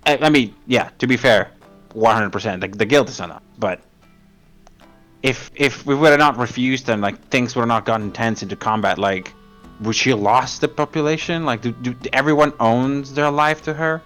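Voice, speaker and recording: A man playing a tabletop game with friends, talking freely and laughing often in a mid-pitched voice. Light music sits under the conversation. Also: fairly bright tone. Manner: chatty, quick to laugh. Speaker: player. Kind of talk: casual tabletop game chat.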